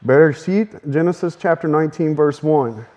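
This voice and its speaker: A man preaching a sermon earnestly, his voice a little strained, speeding up in bursts. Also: on the high side. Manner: earnest, with fast bursts and soft laughs. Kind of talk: sermon teaching.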